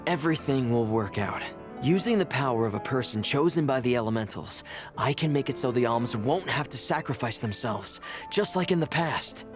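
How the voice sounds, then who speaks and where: A man's voice: medium pitch, expressive, moderate pace, close to an everyday voice. Composed, driven fantasy schemer, acted by a man, music behind. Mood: earnest, determined. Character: driven, scheming adult male ritual caster, outwardly composed. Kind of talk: fantasy video game voice acting. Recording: music under the voice. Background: game music.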